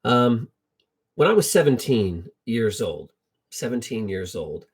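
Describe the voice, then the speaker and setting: A middle-aged American man tells Bible stories informally with personal anecdotes, in a halting, reflective way, repeating phrases for emphasis. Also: mid-range voice, sing-song, breathy edge. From United States. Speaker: lay Bible storyteller.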